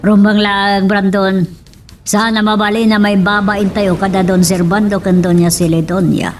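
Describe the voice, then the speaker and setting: A woman's voice: mid-range voice, flat delivery, normal speed, soft background music, close to an everyday voice. An actress playing an earnest, concerned village woman with a Filipino accent in a radio drama.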